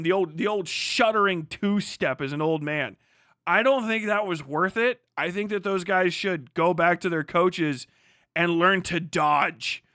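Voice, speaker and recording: A man's voice: high-pitched, pushed and bright, clean tone, dry recording, studio quality. A man hosting a livestream with casual, opinionated commentary, rambling and emphatic, swearing freely. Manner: rambling, heavily profane, emphatic. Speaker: livestream host.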